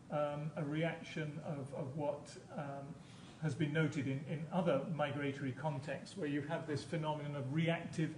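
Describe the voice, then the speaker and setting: A man's voice: on the high side, a little mellow. A man giving an academic conference lecture as a professor, explaining hesitantly and often repeating his words. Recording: echoey room, distant mic, background noise.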